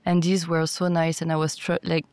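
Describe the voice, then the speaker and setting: A woman's voice: low voice, little pitch movement, normal speed, a little mellow, dry recording. A young adult woman from France, speaking as a music producer in a thoughtful, hesitant way. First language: French. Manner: thoughtful, hesitant, with fillers and restarts. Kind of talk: interview talk.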